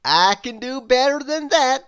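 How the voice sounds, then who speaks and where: A woman's voice: high voice, lively intonation, measured pace, fairly bright tone, clear, resonant tone, pitched above the performer's own voice. A woman voicing a confident, modest male fantasy character from a children's storybook, speaking emphatically.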